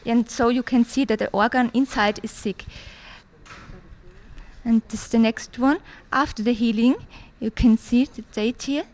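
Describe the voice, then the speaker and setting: A woman in her thirties from Austria, a native German speaker with an Austrian German accent, giving a hesitant testimony in English with many pauses and fillers. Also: fairly high pitch, steady tone, a little mellow. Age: 30s. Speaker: church visitor giving testimony. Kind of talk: interview testimony.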